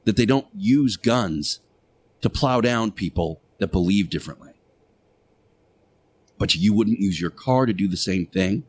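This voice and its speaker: An earnest religious teacher played by a man delivers a radio sermon in a fairly low voice. He is preachy and admonishing, leaning on key words with deliberate pauses and repetition. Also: lively intonation, a little mellow, slightly rough. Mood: grave, insistent. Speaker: radio show host. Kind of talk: radio monologue, moral sermon.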